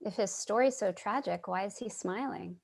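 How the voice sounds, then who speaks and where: A woman's voice: mid-range voice, moderate pace, gravelly. A woman playing a sympathetic, curious narrator in a play reading, speaking in an earnest, reflective way. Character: Charlie, sympathetic, curious woman narrating her street encounters. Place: remote video call reading.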